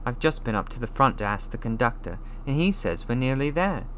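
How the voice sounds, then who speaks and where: A man voicing a brisk, bossy schoolgirl who scolds in a storybook reading. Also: medium pitch, lively intonation, a bit bright, a little hiss or hum, pitched above the performer's own voice, lightly acted. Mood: bossy scolding. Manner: scolding, busy.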